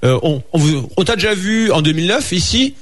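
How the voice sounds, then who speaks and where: Chatty high-voiced radio host man. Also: a little fast. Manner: lively, chatty, with many fillers. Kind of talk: live radio chat.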